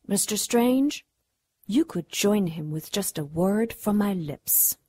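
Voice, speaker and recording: A woman's voice: mid-range voice, animated, slow, mellow, husky, no room sound. A woman playing an imperious, seductive demon in a radio drama, haughty and menacing. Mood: haughty, threatening.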